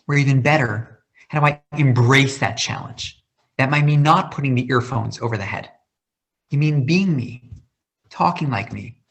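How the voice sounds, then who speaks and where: A man's voice: mid-range voice, fairly bright tone. A man in his thirties who stutters, speaking passionately and dramatically about his life in a question-and-answer talk. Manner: passionate, with a stutter and repeated words. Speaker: guest speaker. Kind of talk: personal talk in an online Q&A.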